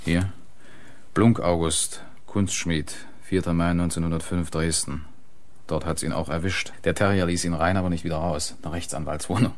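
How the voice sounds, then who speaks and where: An actor playing a brisk, dry police detective in a German radio crime drama. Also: male voice, deep voice, fairly even intonation, natural voice. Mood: matter-of-fact. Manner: clipped, dry, matter-of-fact.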